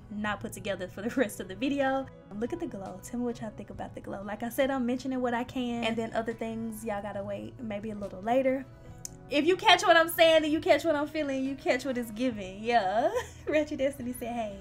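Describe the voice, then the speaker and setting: A young woman narrating a beauty vlog, chatty and playful, talking straight to her viewers. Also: a little high. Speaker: beauty vlogger. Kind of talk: casual voice-over narration. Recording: faint background music.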